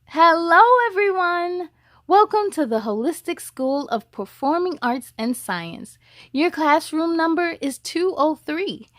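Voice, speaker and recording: A woman voicing a kind but gently firm first-grade teacher in a storybook, addressing a class politely. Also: very high voice, animated, measured pace, no echo, clean studio sound, voice pushed up, exaggerated voice. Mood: bright, welcoming.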